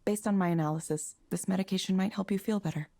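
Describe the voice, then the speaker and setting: An actress playing a reserved, soft-spoken counselling proxy in a visual novel, calm and measured in delivery. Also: female voice, mid-range voice, creaky, no room sound, close to an everyday voice. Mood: scripted calm.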